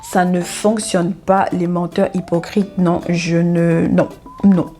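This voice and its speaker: A woman's voice: on the low side, little pitch movement, slow pace, mellow, soft background music. A woman in her thirties from Gabon, introducing herself in a casual faith vlog, cheerful, laughing often and saying amen. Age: 30s.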